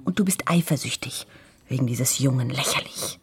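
An actress playing a cool, scheming, unfaithful wife in a radio crime drama, coaxing and bossy, with a very husky, breathy voice. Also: female voice, a bass voice, normal speed, full character voice. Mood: cool, manipulative.

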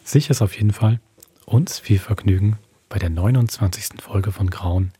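A man's voice: fairly low pitch, moderate pace, warm tone, breathy edge. A man hosting a podcast, giving a scripted, atmospheric introduction. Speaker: podcast host. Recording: music under the voice.

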